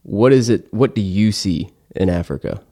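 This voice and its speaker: A man with a low voice hosts an interview in a conversational style. He lays out his questions in lists, pausing between items for dramatic weight. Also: lively intonation, dark tone, slightly rough. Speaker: interview host.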